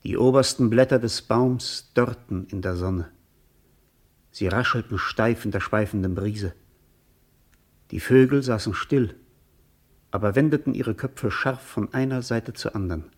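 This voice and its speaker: A man acting as the detached, observant narrator of a literary radio play, reading poetic description in a measured, composed way. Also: on the low side.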